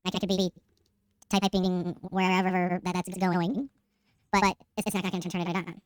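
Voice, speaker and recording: A tutorial host played by a young man chatters quickly in a comically raised, processed voice. The pitch shifter pushes it well above normal male range. It sounds dry and slightly off the mic. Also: flat delivery, smooth, dry recording, distant mic. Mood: flustered, rambling. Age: young adult. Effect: pitch-shifting voice changer, raised. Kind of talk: casual software tutorial.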